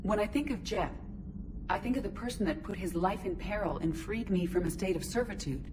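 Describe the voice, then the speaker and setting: A woman voicing a calm, precise ship AI. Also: medium pitch, brisk, noisy background, lightly acted. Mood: resolute. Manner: even, clipped, formal diction. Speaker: starship AI.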